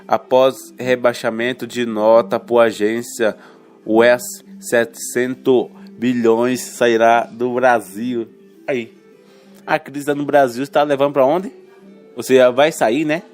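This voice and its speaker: Male radio newsreader, slightly congested with a cold. Informal, halting headline delivery with tag questions, medium pitch, music bed. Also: little pitch movement, darkish tone. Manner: colloquial, halting, stumbles over words and self-corrects, tags remarks with questions. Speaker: radio news presenter. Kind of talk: informal reading of radio news headlines with asides.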